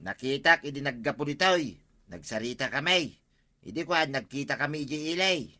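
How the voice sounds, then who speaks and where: A man's voice: mid-range voice, normal speed, pushed and bright. An actor playing a meddling village man in a radio comedy drama, emphatic, with an Ilocano accent. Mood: persuading.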